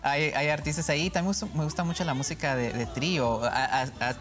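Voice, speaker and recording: A man's voice: a little high, steady tone, quick pace, fairly bright tone. A man with a slight Mexican-sounding accent, answering interview questions earnestly while often repeating words as he thinks. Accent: slightly Mexican-sounding Spanish. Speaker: guest, music company executive.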